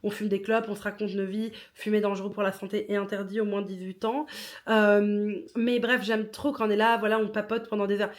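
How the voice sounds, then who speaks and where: A woman's voice: medium pitch, little pitch movement, fairly quick. A woman in her thirties talks through her plans in a loose, chatty monologue. She isn't a native speaker of French and keeps slipping English words into her sentences. She laughs at her own slips. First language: not French. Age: 30s. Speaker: podcaster and writer. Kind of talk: casual personal monologue.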